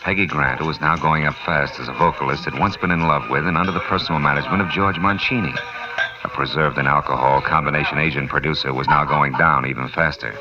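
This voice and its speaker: An old, dull-sounding radio recording with music carries a hard-boiled detective. His voice is extremely low. He narrates and trades lines in a terse, sardonic noir style, as a tough private eye with a wisecrack ready. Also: fast talker. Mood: wry storytelling. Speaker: narrator and lead character.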